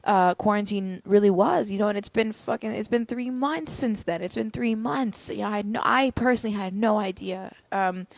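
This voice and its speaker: A young adult woman speaking casually to livestream viewers from home between songs, relaxed and chatty with fillers. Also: medium pitch, normal speed, vocal fry, few pauses, dry recording. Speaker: musician performing a livestream show. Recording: loud music over the voice. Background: music.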